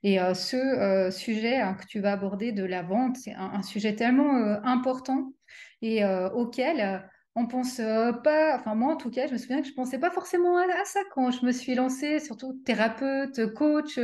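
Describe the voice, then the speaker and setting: A woman's voice: on the high side, moderate pace, darkish tone. A middle-aged woman hosting a casual interview, speaking with many fillers and restarts. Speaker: interview host and coach.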